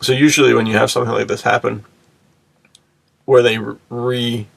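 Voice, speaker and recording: A man's voice: mid-range voice, flat delivery, moderate pace, creaky, no echo. A man co-hosting a podcast in casual, slangy, enthusiastic bro talk. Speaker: podcast host. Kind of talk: casual chat.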